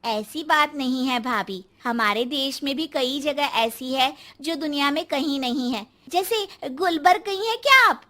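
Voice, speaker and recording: A woman's voice: sits high, lively intonation, measured pace, few pauses, bright, forceful tone, exaggerated voice. A woman voicing a cheerful young sister-in-law in a Hindi cartoon story, chirpy, plain-spoken and pointed. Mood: earnest.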